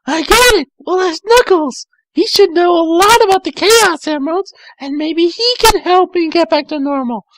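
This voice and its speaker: A man voicing a young fox turned into a girl, eager and anxious, in a squeaky falsetto for an amateur reading of cartoon fan fiction. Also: lively intonation, moderate pace, few pauses, fairly bright tone, heavily acted, rough recording. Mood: excited, hopeful.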